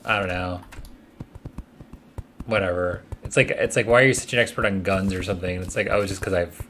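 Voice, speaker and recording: A young man with a fairly low voice jokes easily with a friend during a game. His talk is laid-back, with a faint hiss of noise behind it. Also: steady tone, fairly bright tone. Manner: laid-back, joking, quick to laugh. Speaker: friend co-playing on stream. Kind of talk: casual gaming chat.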